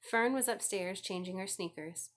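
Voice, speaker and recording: A woman's voice: mid-range voice, normal speed, a bit bright. A woman narrating a children's book aloud as a scripted story reading. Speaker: audiobook reader.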